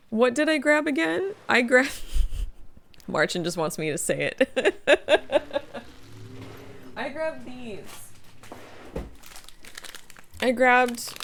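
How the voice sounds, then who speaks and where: A woman's voice: a little high, creaky, slight background noise. A tired, slightly sniffly woman streaming a game, chatting casually, reading chat aloud and laughing often. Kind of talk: casual gaming livestream chat.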